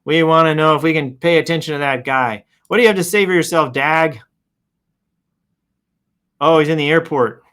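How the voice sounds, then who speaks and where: A man's voice: a little high, normal speed, long pauses, fairly bright tone, clean tone. A man hosting a show, casually reading posts aloud and improvising commentary with playful announcer flourishes and wry asides.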